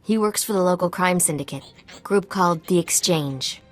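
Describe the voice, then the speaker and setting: A woman's voice: lowish voice, moderate pace, faint music behind, natural voice. A woman playing a wry, wary underground informant in a video game, dry and matter-of-fact. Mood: dry, informative. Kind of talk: video game dialogue acting.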